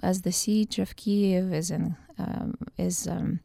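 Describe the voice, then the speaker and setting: Woman from Ukraine, professor guest, lowish mellow voice, dry close sound, hesitant with fillers. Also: fairly even intonation, takes it slowly, gravelly, dry recording. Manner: thoughtful, with many hesitations and fillers. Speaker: political science professor guest. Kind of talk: radio interview answers.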